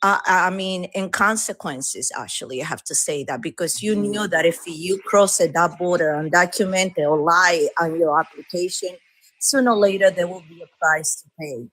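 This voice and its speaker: A woman's voice: fairly low pitch, moderate pace, fairly bright tone, poor audio. A woman from Latin America with a Latin American Spanish accent, earnestly explaining her views at length on a live panel. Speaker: caller.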